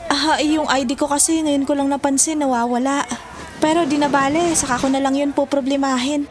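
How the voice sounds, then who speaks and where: A woman acting in a Filipino audio drama as a caring young student, expressive and conversational, with a Filipino accent. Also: high voice, fairly quick, crowd noise, natural voice. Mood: curious. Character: Farah, young female student, caring, puzzled loyal friend.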